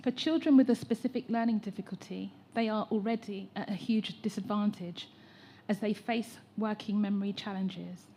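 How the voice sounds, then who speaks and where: An educator with an English accent speaks from the floor of a public meeting, earnest and measured. Her voice is mellow and warm, and she explains her point like a teacher. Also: mid-range voice. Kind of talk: prepared public comment.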